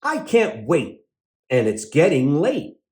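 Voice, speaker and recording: A man's voice: on the high side, wildly swooping pitch, takes it slowly, darkish tone, full character voice. A man voicing a friendly, kind storybook cat who is eager and apologetic about being in a hurry. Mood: apologetic, rushed. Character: friendly hurried young cartoon cat, cheerful and kind.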